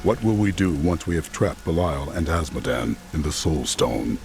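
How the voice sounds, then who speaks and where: A man's voice: rumbling low, moderate pace, darkish tone. An actor playing a determined male warrior hero in a video game, speaking firmly and commandingly. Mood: stern. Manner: firm, commanding. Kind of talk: video game cutscene acting. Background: game music.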